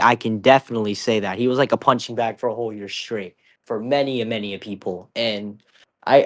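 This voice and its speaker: A young man streaming and chatting casually, rambling, with his pitch jumping up when he stresses a point. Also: no room sound, clean studio sound. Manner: rambling, swings up in pitch on emphatic lines. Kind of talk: casual chat.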